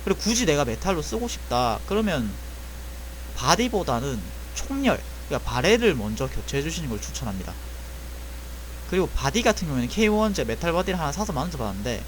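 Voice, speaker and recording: Man, young adult, casual tutorial talk, rambling, self-correcting, lively pitch, faint background hum. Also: brisk, rough recording. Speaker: airsoft gun technician.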